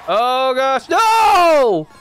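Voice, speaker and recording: A young man with a congested voice from the flu, giving casual, excitable commentary as he plays a racing game at home on a desk mic. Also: very high voice, very slow, clear, resonant tone, soft background music. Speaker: gaming YouTuber. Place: home gaming room. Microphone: desk mic, face camera.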